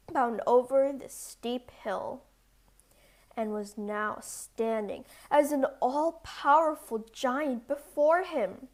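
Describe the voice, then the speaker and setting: A woman storyteller narrating a scripted fairy tale for children. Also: a little high.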